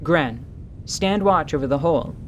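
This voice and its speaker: Woman playing a deadpan, commanding mercenary leader. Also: deep voice, a little hiss or hum. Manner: deadpan, deliberate, authoritative. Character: wry, commanding female mercenary leader with dry dark humor. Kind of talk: radio theatre character acting.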